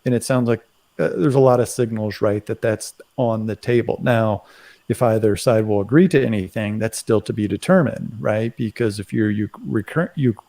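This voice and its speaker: A man in a casual current affairs discussion, speaking haltingly and often tagging his phrases with "right". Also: fairly low pitch, warm tone. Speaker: commentator on a live talk show.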